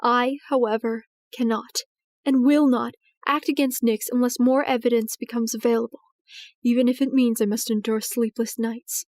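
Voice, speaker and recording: A woman voicing a regal, protective pony princess in an audio drama, speaking formally and earnestly with measured, anxious gravity. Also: fairly high pitch, fairly even intonation, a little mellow, dry recording, lightly acted. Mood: resolute, torn. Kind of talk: dramatized audio fiction reading.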